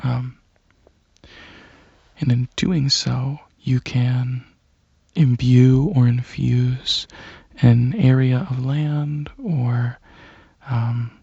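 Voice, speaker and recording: Soft, husky, hesitant alien dragon played by a man. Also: mid-range voice, flat delivery, dry recording, lo-fi sound, heavily acted. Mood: explaining. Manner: hesitant, halting, softly earnest. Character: shy, gentle alien dragon host, curious about Earth. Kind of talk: ASMR roleplay, soft rambling monologue.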